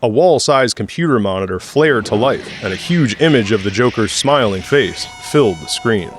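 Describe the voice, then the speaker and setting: A man's voice: lowish voice, lively intonation, moderate pace. A man reading scripted story narration for an audio drama. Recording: faint ambient noise behind.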